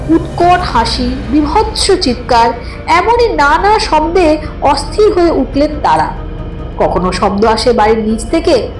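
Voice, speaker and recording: Female horror narrator, high and very animated, telling a scripted tale with suspenseful expression. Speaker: narrator of a horror audio story. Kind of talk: dramatic scripted suspense storytelling. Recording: roomy echo, distant voice, background music. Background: music.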